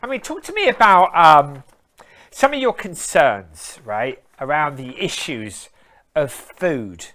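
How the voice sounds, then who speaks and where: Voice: medium pitch, sing-song, slow pace, a bit bright. A host running a live interview, full of praise and asking long, rambling questions.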